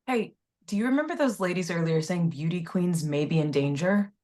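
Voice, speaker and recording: A woman's voice: lowish voice, lively intonation, brisk, warm tone, close to an everyday voice. A woman playing a determined, plain-spoken character in a thriller screenplay table read. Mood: earnest.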